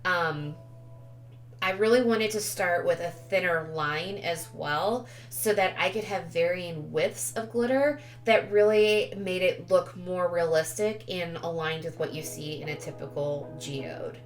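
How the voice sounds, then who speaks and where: A woman's voice: fairly low pitch, a little slow. A woman giving a casual, chatty craft tutorial voice-over, sounding sniffly with a cold and often correcting herself. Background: background music.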